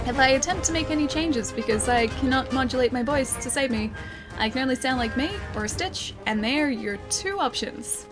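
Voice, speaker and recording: A young Australian woman streaming a game, chatting casually and answering her viewers. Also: a little high. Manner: chatty, upbeat, reads chat aloud and answers viewers. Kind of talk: casual live-stream chat while gaming. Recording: game music playing behind the voice.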